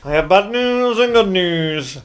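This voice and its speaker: A man's voice: high-pitched, lively intonation, measured pace. A man playing a cheeky court jester in an amateur radio play, telling corny jokes in mock-old speech. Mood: chirpy. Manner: hammy, punning, old-fashioned "ye" speech.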